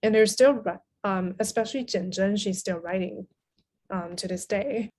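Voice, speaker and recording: Mid-pitched woman, thoughtful podcast guest. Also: a little mellow, slight background noise. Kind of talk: casual interview talk.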